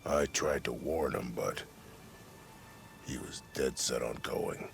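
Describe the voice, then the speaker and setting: A very deep-voiced caravan scout. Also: male voice, takes it slowly, gravelly, faint music behind, full character voice. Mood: matter-of-fact. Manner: folksy, matter-of-fact. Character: plain-spoken, seasoned caravan scout, male. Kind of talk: video game character acting.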